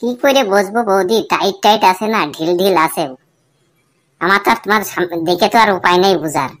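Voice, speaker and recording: A man's voice: high-pitched, brisk, fairly bright tone. Man voicing a bawdy, grumbling young village bachelor. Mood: hesitant, puzzled. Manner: colloquial, complaining, pleading. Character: Futo, bawdy young bachelor, pleading and evasive. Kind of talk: comic cartoon dialogue and muttered asides.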